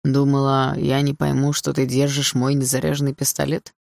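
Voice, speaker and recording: A woman's voice: very deep voice, monotone, moderate pace, vocal fry, darkish tone, no echo, studio quality. A woman voicing a menacing, obsessive and smug husband with an insinuating delivery in an audiobook. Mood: angry, bitter. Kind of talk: audiobook character dialogue.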